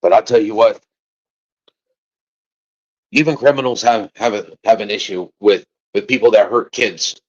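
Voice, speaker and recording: Deep-voiced man, halting then defiant. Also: dry recording, muffled recording. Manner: halting and rambling, then rising to defiant, forceful outbursts. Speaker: father of a missing child, interviewee. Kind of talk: interview answers.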